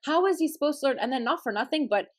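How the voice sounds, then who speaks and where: A woman talks fast in a somewhat high voice, ranting with heated, profane emphasis. She hosts a casual podcast conversation and pushes every point hard. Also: animated. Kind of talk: casual chat.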